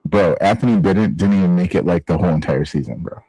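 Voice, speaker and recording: A man's voice: sits low, quick pace, dark tone, breathy edge, no echo, poor audio. A man co-hosting a podcast, explaining basketball in a laid-back way, dropping bro and man. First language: Spanish. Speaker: podcast co-host. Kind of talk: casual sports chat.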